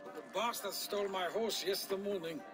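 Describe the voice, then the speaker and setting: Casting: actor as loyal Viking deputy, male, notably high voice, polite, earnest, a bit put-upon, game drama with music behind. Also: a little fast, natural voice. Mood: troubled, rueful. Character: Magni, courteous, troubled Viking town deputy, loyal man. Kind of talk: dramatic video game acting.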